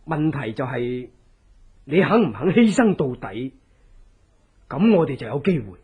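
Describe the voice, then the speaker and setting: An actor playing a calculating, persuasive plotter in a Hong Kong Cantonese radio drama, speaking deliberately and theatrically. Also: male voice, medium pitch, sing-song, husky, phone-quality sound, full character voice. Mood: scheming, persuasive. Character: calculating male plotter coaching a woman avenger.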